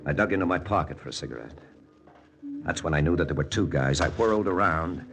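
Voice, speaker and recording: An actor playing a hardboiled, wry lieutenant in a 1940s radio spy drama, talking in a terse, deadpan way. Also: male voice, very deep voice, fast talker. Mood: tense, terse. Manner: terse, deadpan first-person narration. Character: hardboiled American lieutenant, wry, jealous, narrating his own spy story. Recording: old radio recording with music underneath.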